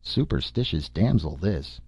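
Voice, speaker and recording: A man voicing a gruff, resentful doctor with a dry, muttering manner in an audiobook story. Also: sits low, very animated, fairly quick, warm tone, no echo, exaggerated voice. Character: gruff, resentful male doctor, dryly sarcastic.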